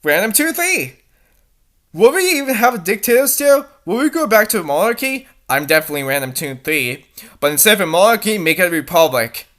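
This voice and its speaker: A woman's voice: mid-range voice, sing-song, fairly quick, a bit bright. A woman reading a story aloud theatrically and adding exasperated, mocking asides. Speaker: fanfic reader and commentator.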